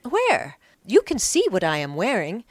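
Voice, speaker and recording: Narrator as a brazen, defiant woman, higher-pitched. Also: wildly swooping pitch, measured pace, no echo. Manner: practiced, defiant, pleading. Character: brazen, defiant woman prostitute with contrived pleading.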